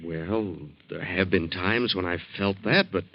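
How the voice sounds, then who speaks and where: A man's voice: sits low, unhurried, dry recording. A man playing a soothing yet evasive young friend in a 1940s radio drama, placating and hesitant with theatrical delivery. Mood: musing. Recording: dry, dull lo-fi 1940s radio recording.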